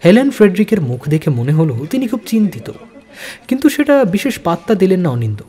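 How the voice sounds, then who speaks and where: A man's voice: sits high, big pitch swings, quick pace, mellow. A man narrating a spy thriller audio story in a dramatic, suspenseful manner.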